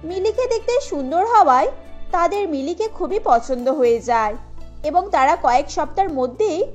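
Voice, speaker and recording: Female story narrator: scripted delivery, extremely high pitch, music bed. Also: animated, normal speed, lo-fi sound.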